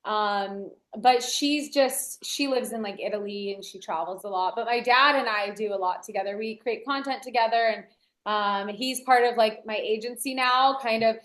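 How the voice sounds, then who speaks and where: A woman's voice: on the high side, moderate pace, a bit bright, roomy sound. A young woman, raised speaking Portuguese first, chatting upbeat as a podcast guest with lots of "like" fillers. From United States, Brazilian family. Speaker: guest, DJ and entrepreneur. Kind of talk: casual interview chat.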